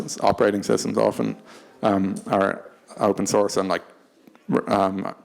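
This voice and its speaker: A man speaking unscripted on a discussion panel, hesitant and halting, often restarting his phrases. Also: on the low side, creaky, darkish tone. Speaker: panelist from a tech company.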